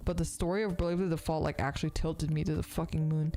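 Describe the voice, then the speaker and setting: A woman's voice: low-pitched, fairly even intonation, fast talker, mellow, a little hiss or hum. A woman in her thirties, a streamer chatting casually while she crafts, sounding sniffly and congested, rambling with lots of fillers and swearing. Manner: rambling, profane, filler-heavy.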